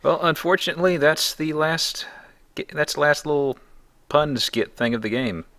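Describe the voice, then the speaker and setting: A man's voice: fairly high pitch, moderate pace, a bit bright. A man hosting a let's play with casual, wry game commentary. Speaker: gamer, let's play host.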